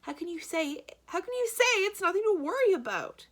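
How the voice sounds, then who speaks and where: A woman voicing a panicked, babbling male banker in a storybook read-aloud, shrill and exclamatory. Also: sing-song. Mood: frantic alarm. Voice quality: shrill, high-pitched. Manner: exclamatory, rapid-fire babbling.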